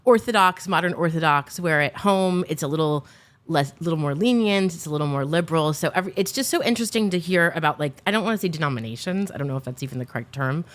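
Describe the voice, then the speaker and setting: A woman's voice: low-pitched, animated, quick pace. A young adult woman hosting a podcast interview over a remote call. She talks in a chatty, enthusiastic way with many "like" fillers. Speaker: podcast host. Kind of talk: casual interview chat.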